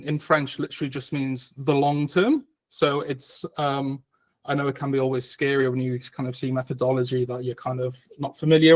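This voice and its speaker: A man in his thirties, a lecturer and podcast guest, explaining his ideas patiently with frequent ums. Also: mid-range voice. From Cardiff, Wales. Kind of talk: conversational explanation.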